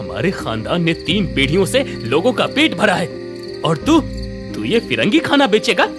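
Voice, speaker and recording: A man playing a stern, proud middle-aged father who runs a village eatery in a cartoon story, gruff and scolding. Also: high-pitched, very animated, moderate pace. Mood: angry. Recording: music under the voice.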